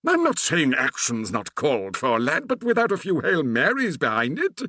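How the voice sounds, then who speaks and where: A sputtering, indignant old vicar, put on by a male narrator, pitched up from his usual voice with lively, swooping intonation. He drawls out phrases slowly and sounds like a storybook clergyman set a little back from the mic in a dry room. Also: no room sound, full character voice. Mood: blustering. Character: blustering older male country vicar.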